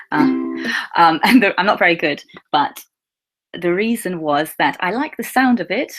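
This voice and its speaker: A young woman whose was Russian. She answers viewer questions in a chatty, easygoing way as a teacher and speaks clearly for learners. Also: lowish voice, a bit bright. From England. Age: young adult. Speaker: English teacher. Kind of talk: casual live Q&A.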